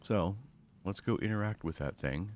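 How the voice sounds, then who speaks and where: A man's voice: low-pitched, normal speed, darkish tone, slight background noise. A man giving casual walkthrough commentary on a video game, talking through each of his own actions. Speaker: gaming video host.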